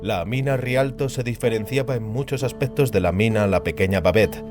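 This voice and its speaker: A man's voice: lowish voice, a little fast. Male fantasy audiobook narrator over music. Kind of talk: scripted literary narration of a fantasy novel.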